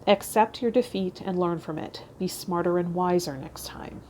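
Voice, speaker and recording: A woman's voice: lowish voice, moderate pace, darkish tone, slight background noise. A woman hosting a tarot vlog, reading aloud and talking to her viewers. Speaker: tarot channel host. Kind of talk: reading card meanings aloud and casual vlog talk.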